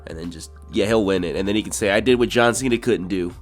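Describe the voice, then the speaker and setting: A man's voice: fairly low pitch, quick pace, soft background music. Wry young man recapping. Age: young adult. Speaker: co-host. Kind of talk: casual wrestling chat.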